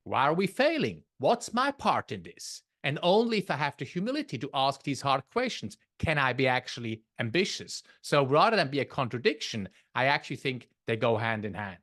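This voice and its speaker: A man's voice: medium pitch, very animated, brisk, few pauses, a bit bright. A man with a Swiss accent, a podcast guest who explains his views in a thoughtful way and often restarts his phrases. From Switzerland. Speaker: podcast guest, leadership author. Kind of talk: conversational interview.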